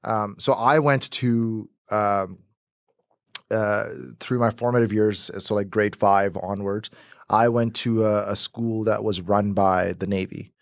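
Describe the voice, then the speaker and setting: A man's voice: lowish voice, fairly even intonation, takes it slowly, no room sound, clean studio sound. A young man from Pakistan whose first language is Urdu, talking casually as a podcast guest with many restarts and fillers. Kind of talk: casual conversational interview.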